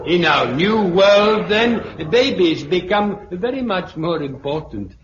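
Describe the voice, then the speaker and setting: Old british professor, pompous n deliberate, swooping delivery, echoey old radio recording. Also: male voice, sits high, lively intonation, a crowd in the background. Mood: lecturing. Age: older. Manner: pedantic, deliberate, oratorical. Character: elderly, pompous, unruffled male sociology professor addressing a meeting. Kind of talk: 1950s radio drama acting, public lecture. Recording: muffled, noisy, echoey 1950s radio recording.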